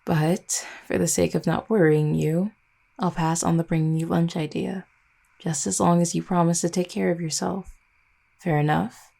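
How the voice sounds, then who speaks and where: A woman's voice: fairly low pitch, steady tone, moderate pace, vocal fry, dark tone. A woman playing an affectionate, teasing vampire girlfriend in an intimate roleplay audio, speaking in a tender, conversational way. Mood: doting.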